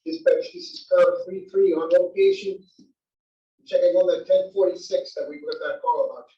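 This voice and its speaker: Guy doing a cop calling in a report, high voice, urgent, echoey and far from mic. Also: normal speed, dark tone, close to an everyday voice. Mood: businesslike, alarmed. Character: police officer in his forties, urgent and businesslike. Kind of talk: comedy table read before an audience. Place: library room, table read. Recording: dull, echoey library room, distant mic.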